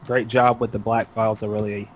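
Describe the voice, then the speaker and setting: A man's voice: on the low side, level tone, fairly quick, soft background music. A man hosting a podcast in casual roundtable talk. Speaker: podcast host.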